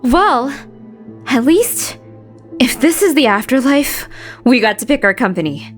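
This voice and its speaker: A woman acting a level-headed, wry female adventurer in an audiobook drama, with dry, hesitant pauses. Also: high voice, sing-song, soft background music. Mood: measured, wry.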